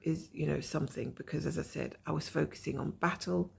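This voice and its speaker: A woman with a croaky voice from a cold, chatting casually and rambling as she shows her cross-stitch progress from home. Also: low voice, fairly quick, darkish tone. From Scotland. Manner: rambling, chatty, self-mocking.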